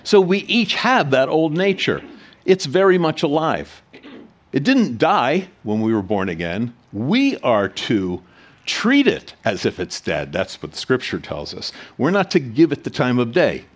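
A man preaching to his congregation in a church, his medium-pitched voice rising and falling with lively emphasis. He talks in a plain, conversational way, drops into quieter asides, then builds back up with rhetorical questions. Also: unhurried. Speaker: pastor. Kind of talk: sermon.

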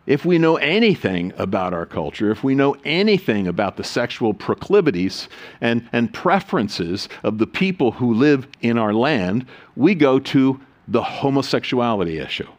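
Man, pastor, sermon, lively pitch, scripture reading, joking asides. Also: moderate pace. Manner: animated, mixes solemn reading with joking asides.

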